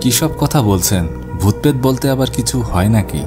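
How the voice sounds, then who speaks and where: A man's voice: medium pitch, expressive, moderate pace, natural voice. A man playing an eager, sceptical young nephew in cartoon ghost story dialogue. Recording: music bed behind the voice.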